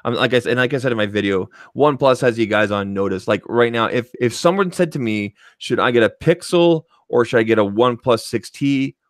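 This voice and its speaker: A man's voice: mid-range voice, animated, brisk, hardly any pauses, dry recording. A man co-hosting a tech podcast in casual chat, emphatic and opinionated, often tacking on "okay" as he makes his points into a studio microphone.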